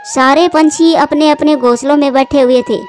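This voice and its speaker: A woman's voice: high voice, fairly even intonation, moderate pace, soft background music. A woman narrating a scripted children's animal fable as a storyteller.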